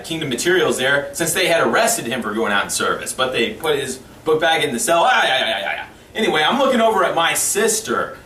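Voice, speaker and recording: A man with a bright, forceful voice tells a comic story with a mock-serious delivery and dramatic pauses. He sits some way from the mic in a roomy space, with faint noise behind him. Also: a little high. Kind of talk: animated comic storytelling to camera. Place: small indoor room, seated before camera. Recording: roomy, distant mic with background hiss.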